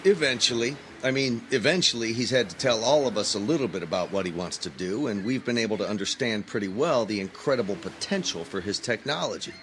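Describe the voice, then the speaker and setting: An actor playing an earnest, cautious young male graduate student in an audio drama, speaking in a slightly halting way. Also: fairly low pitch, expressive, a bit bright, a little hiss or hum. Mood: friendly, hesitant. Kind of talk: naturalistic audio drama acting. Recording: clear background ambience.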